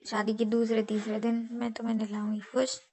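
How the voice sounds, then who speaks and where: High-pitched teasing young woman on phone. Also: level tone, fairly quick, mellow. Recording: faint noise on phone call.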